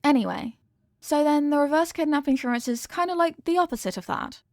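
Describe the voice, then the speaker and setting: High, sweet yandere girlfriend, acted by a woman. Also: brisk, dry recording, studio quality. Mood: earnest explaining. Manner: sweet, chatty, earnest rambling with doting endearments. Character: cute obsessive young yandere girlfriend, possessive, adorkable, rambling. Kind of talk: ASMR-style comedic audio roleplay addressed to the listener.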